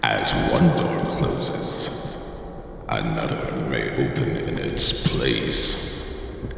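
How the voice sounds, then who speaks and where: In a cavernous reverb, a grave and cryptic male narrator intones with a gravelly, extremely low voice. He speaks very slowly and ominously, as an otherworldly presence in a radio anthology. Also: steady tone, fairly bright tone, heavily acted. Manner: slow, portentous. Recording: cavernous long reverb, faint noise.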